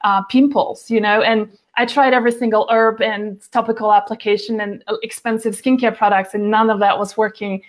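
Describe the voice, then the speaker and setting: A woman's voice: mid-range voice, steady tone, a little fast, few pauses. A woman in her forties, a guest on a video call interview, talking chattily with many fillers and restarts. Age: 40s. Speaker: guest, nutrition author. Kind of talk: casual interview talk. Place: home, by a window with plants behind.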